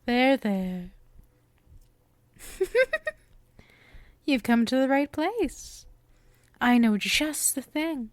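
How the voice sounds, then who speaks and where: Woman acting a slow, coy, lovestruck witch. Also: sits high, sing-song, no echo, exaggerated voice. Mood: soothing, tender. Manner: coy, teasing, affectionate, theatrical. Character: sweet, possessive, lovestruck witch shopkeeper, young woman. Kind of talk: ASMR roleplay, intimate scripted acting.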